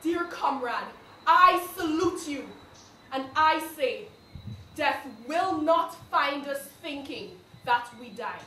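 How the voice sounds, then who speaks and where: A woman giving a solemn, measured poetry recital at a live event. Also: high-pitched, expressive. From Guyana. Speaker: poetry reader. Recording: echoey, distant room, faint noise.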